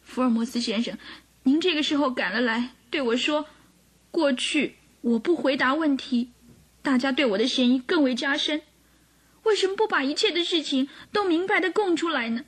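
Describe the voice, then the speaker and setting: An actress playing a gentle, sorrowful young governess, earnest and emotional, in a radio drama. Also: female voice, high-pitched, moderate pace, close to an everyday voice. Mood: tearful, resigned. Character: gentle, sorrowful young governess under suspicion, pleading tearfully.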